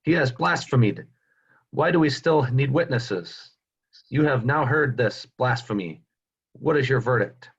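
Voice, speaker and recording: A man playing a stern, accusing high priest in a dramatic scripture reading, forceful and demanding, on dull call audio. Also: expressive, measured pace, breathy edge, exaggerated voice. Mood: outraged. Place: remote recording on a video call.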